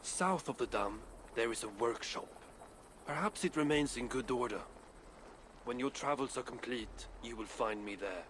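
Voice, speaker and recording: An actor playing a proud, foul-mouthed dwarf craftsman in a video game, gruff and sardonic. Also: mid-range voice, animated, normal speed, a bit bright, a little hiss or hum, close to an everyday voice. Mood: earnest, scheming. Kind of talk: cinematic video game acting.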